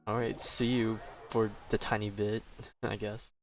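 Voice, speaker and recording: A man's voice: on the low side, little pitch movement, unhurried, dull audio. A man giving casual, slangy, offhand commentary as he streams a video game. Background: game music.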